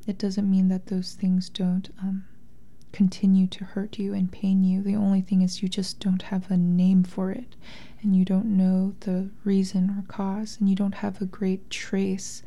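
A woman author speaking softly and breathily in a thoughtful, measured, literary way. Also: mid-range voice. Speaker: interviewed author and translator. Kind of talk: reflective literary interview talk and reading aloud from a memoir.

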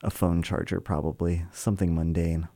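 A man's voice: low-pitched, level tone, normal speed, warm tone, dry recording, clean studio sound. A man reading his own literary personal essay as scripted narration.

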